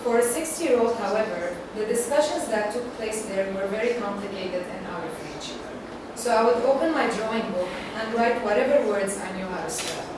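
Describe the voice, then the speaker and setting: A young woman from Syria giving a measured, reflective research presentation, read aloud to an audience. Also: medium pitch, animated. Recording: echoey hall, far from the mic, noisy.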